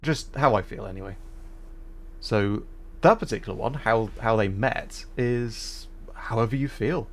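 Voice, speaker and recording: Low-voiced man chatting on a livestream. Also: a little slow. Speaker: livestream host. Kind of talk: casual game livestream chat.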